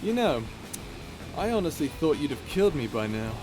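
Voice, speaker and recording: A man's voice: fairly high pitch, big pitch swings, normal speed, over music. A man playing a cocky, playful space pirate captain in a flirtatious, joking, casual way for an audio roleplay. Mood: amused. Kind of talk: dramatic ASMR roleplay acting.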